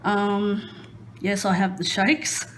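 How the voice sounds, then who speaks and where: A nervous Australian woman talks slowly and unhurriedly, filling her pauses with ums and soft self-conscious laughter. She chats casually about herself as she works at a craft table indoors, recorded on a phone. A faint background hiss sits under her voice. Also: medium pitch, little pitch movement, poor audio. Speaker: crafting vlogger. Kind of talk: nervous casual chat while diamond painting.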